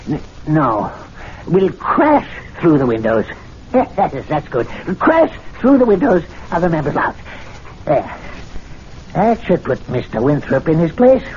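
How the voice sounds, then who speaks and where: Aggrieved male club servant, spiteful and theatrical, lively swooping delivery, old radio drama with dull, noisy sound. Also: on the high side, measured pace. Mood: scheming, excited. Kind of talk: 1970s radio mystery drama acting.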